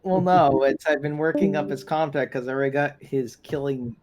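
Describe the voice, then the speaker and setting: A man's voice: fairly high pitch, moderate pace, crowd noise. A man playing a tabletop game, chatting casually and repeating words while he thinks.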